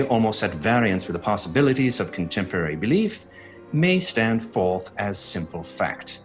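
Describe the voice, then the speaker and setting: An actor playing a solemn, formal gentleman witness, delivering grave, declamatory narration for an audio drama. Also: on the low side, lightly acted. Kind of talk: theatrical scripted narration to an audience.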